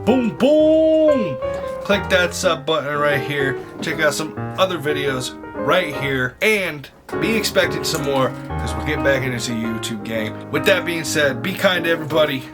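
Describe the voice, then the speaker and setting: A man's voice: a little high, animated, measured pace. A man giving casual, slangy reaction commentary as an online video host, exclaiming and mocking as he goes. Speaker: YouTube host reacting to movie trailers. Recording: music playing behind the voice.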